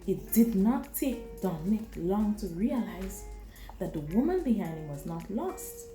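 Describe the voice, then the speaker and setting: A woman with a Grenadian accent, a visiting author who reads a story aloud to a class and then gently answers the children's questions. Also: medium pitch, very animated, measured pace, roomy sound, faint music behind. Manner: gentle, encouraging, dramatic when reading.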